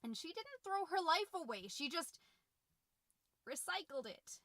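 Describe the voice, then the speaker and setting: Woman playing a bright, chirpy suburban mom podcaster. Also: very high-pitched, very animated, a little slow, no room sound, studio quality, exaggerated voice. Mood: defensive, gushing. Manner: folksy, rambling, over-cheerful with anxious undertone. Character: chirpy, folksy, fretful suburban mom podcaster, middle-aged. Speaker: podcast host. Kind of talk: scripted comedic monologue as a confiding podcast.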